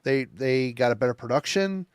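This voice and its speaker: A man's voice: medium pitch, animated, moderate pace, clean tone, dry recording, studio quality. A man co-hosting a podcast, talking emphatically in casual chat, repeating himself and swearing casually. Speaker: podcast co-host. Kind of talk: casual chat about metal albums.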